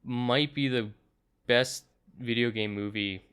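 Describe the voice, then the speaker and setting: A man's voice: mid-range voice, takes it slowly, a bit bright, smooth. A man hosting a casual, agreeable movie review conversation, talking in a conversational way.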